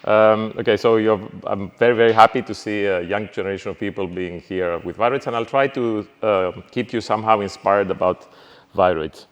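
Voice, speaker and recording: A man's voice: on the low side, steady tone, clean tone, dull audio. Middle-aged man lecturing in a reverberant hall. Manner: halting, many fillers and restarts. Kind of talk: university lecture.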